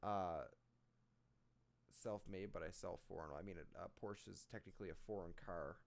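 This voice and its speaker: A man's voice: sits low, fairly even intonation, normal speed, creaky. A man hosting a rap reaction, casually explaining lyrics line by line with lots of fillers. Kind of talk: casual lyric breakdown commentary.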